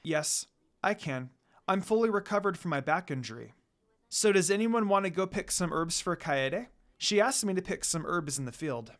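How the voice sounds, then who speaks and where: A woman reading the part of a kind, cheerful young priestess in a story, in a gentle, friendly manner. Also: fairly low pitch, lively intonation, normal speed, lightly acted. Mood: kind, reassuring.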